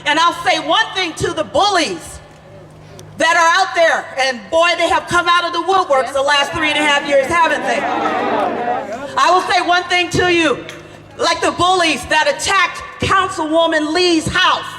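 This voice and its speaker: An older woman, a grandmother and preacher, giving a fiery protest speech outdoors, often shouting in repeated phrases. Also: very high-pitched, moderate pace. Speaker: preacher speaking at a rally. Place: outdoor rally at city hall. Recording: reverberant PA sound with loud crowd.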